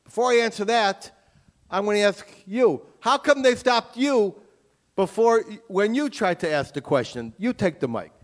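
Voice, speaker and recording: A man's voice: high-pitched, lively intonation, moderate pace. A man giving an emphatic lecture as an author and taking audience questions. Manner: emphatic, forceful.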